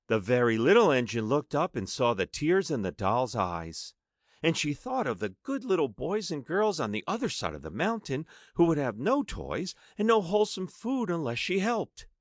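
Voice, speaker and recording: A man's voice: fairly high pitch, sing-song, moderate pace, no room sound, clean studio sound. A man narrating a children's story expressively, with dramatic emphasis as an audiobook narrator.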